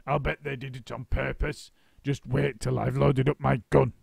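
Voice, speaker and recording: A man voicing a nasty, blustering, bad-tempered old man who shouts a lot. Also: medium pitch, little pitch movement, moderate pace, vocal fry, slightly rough, no room sound, clean studio sound, pitched above the performer's own voice.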